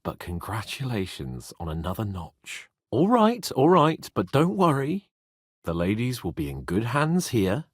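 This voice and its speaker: An actor voicing a brash, teasing young medieval nobleman in a video game, proud, hot-headed and sardonic, with an expressive delivery. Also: lowish voice, unhurried, slightly rough, dry recording, studio quality, exaggerated voice. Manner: cocky, sardonic, swearing, expressive. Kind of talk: video game voice acting, dramatic dialogue.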